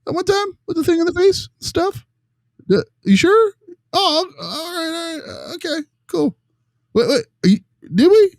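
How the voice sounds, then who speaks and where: A man voicing a goofy, evasive teenage boy in a high, strained falsetto, halting and slapstick. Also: big pitch swings, gravelly, no room sound, studio quality, full character voice. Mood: feigned anxious confusion.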